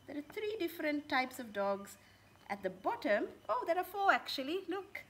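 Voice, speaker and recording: Lively woman reading to children. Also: high voice, normal speed. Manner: expressive, questioning, addressing young children. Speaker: children's story reader and teacher. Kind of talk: interactive picture-book read-aloud for children. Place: outdoors on a home patio.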